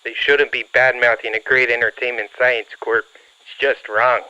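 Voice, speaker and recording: Guy playing smug caller, bright clear voice, muffled line. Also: mid-range voice, flat delivery, normal speed. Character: smug, folksy, faintly menacing heckler calling a radio show. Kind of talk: acted radio call-in.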